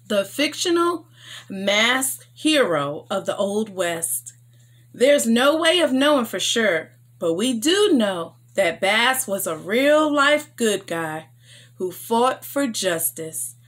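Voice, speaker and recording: A woman hosting a story time, reading aloud for children in an enthusiastic, expressive and emphatic way. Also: mid-range voice.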